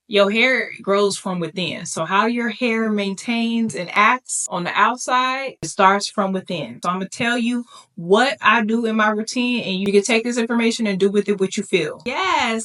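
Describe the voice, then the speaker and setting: A woman's voice: medium pitch, fairly bright tone. A woman vlogger chatting casually to her viewers, sassy and playful, calling them "baby" as she walks them through her hair routine. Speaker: beauty vlogger. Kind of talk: casual chat to camera.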